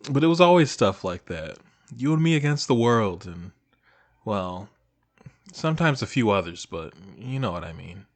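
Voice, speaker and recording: A man acting as a shy, nostalgic old high school best friend, talking casually and hesitantly to the listener in an intimate audio roleplay. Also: big pitch swings, moderate pace. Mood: fond, amused. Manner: hesitant, casual, soft-hearted, trailing off.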